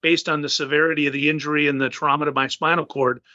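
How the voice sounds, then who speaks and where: A man's voice: fairly high pitch, flat delivery, fast talker, sharp tone, no echo, muffled recording. A man in his fifties, a retired naval officer, giving an interview and recounting events with many fillers and restarts. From United States. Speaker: guest.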